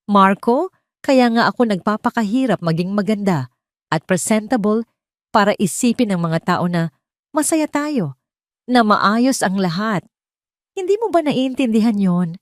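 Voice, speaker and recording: A woman's voice: medium pitch, expressive, a little slow, pauses often, no echo, studio quality, pitched above the performer's own voice, lightly acted. A woman voicing a vain, demanding young wife in an audio drama, indignant and insistent. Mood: angry, exasperated.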